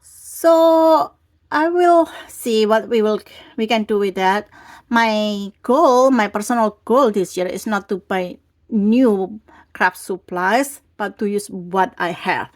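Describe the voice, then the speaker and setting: A woman hosting a craft video, speaking casually at her tabletop and filmed from an overhead camera. She is getting over a cold and has a lingering cough. She talks in a chatty, rambling way with the odd restart. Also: mid-range voice. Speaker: craft channel host. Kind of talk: casual craft tutorial talk.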